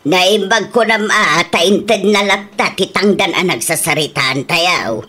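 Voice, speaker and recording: A woman's voice: fairly low pitch, a little slow, sharp tone. A woman playing a weary, reproachful older female farm worker in a radio comedy drama.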